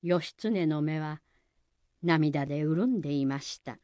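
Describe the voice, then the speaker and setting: A woman's voice: low-pitched, takes it slowly, mellow, no room sound. A woman narrating a historical folk tale for a radio drama as a scripted storyteller. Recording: dull, lo-fi sound. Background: music.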